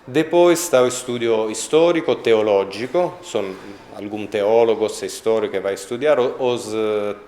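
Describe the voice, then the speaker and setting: A man with an Italian accent, speaking a second language in a documentary interview, pausing often to find his words. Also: fairly low pitch, mellow, echoey room, a little hiss or hum. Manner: halting, searching for words, many pauses. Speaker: interviewee on a sainthood cause.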